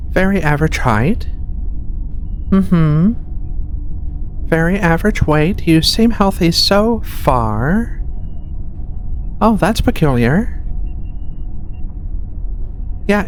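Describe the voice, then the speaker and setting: Soft, low-voiced gentle alien doctor played by a woman. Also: animated, drawn-out words, long pauses. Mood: calm, curious. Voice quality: soft-spoken. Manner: soothing, hesitant, reassuring. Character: gentle giant furry alien medical researcher, female, reassuring. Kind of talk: soft-spoken ASMR roleplay. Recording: clear background noise, ship ambience. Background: ambient hum of a research ship.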